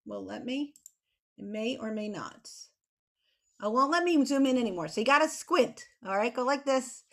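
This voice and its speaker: A woman's voice: fairly high pitch, expressive, normal speed, vocal fry. A middle-aged American woman chatting casually with children on a video call, explaining things in an encouraging way. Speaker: children's author and illustrator as guest. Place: small home room with bookshelf, indoors. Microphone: computer mic on video call.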